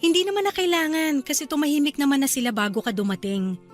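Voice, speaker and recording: A woman's voice: high-pitched, fast, lightly acted. A woman with a Filipino accent acting a caring, hesitant young wife in a Tagalog radio drama. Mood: hesitant, mildly complaining. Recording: music behind the voice.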